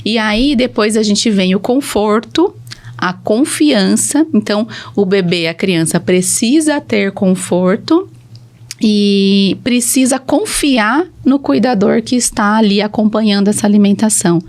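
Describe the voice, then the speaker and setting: A woman's voice: mid-range voice, lively intonation, measured pace, darkish tone. A woman with a Brazilian Portuguese accent, a speech therapist explaining things methodically and with emphasis in a podcast conversation.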